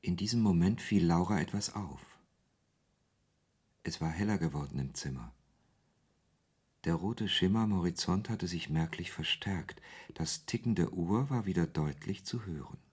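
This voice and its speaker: Very deep, husky male narrator. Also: moderate pace, darkish tone. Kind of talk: scripted literary narration in a radio drama.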